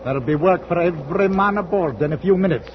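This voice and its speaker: A man's voice: high-pitched, noisy background, natural voice. An actor, a man, playing a stolid, fair-minded ship's captain who is plain-spoken and understated in an old-time radio drama. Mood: firm, mild. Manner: understated, plain-spoken, hesitant with small pauses. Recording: muffled vintage radio recording with music.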